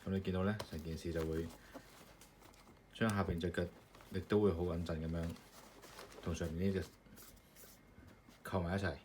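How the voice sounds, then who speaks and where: A man with a low voice talks through an assembly in a loose, step-by-step way, with plenty of fillers. Steady noise and the click of plastic parts run under his voice. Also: moderate pace, pauses often. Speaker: maker demonstrating his product. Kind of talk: casual hands-on assembly demonstration.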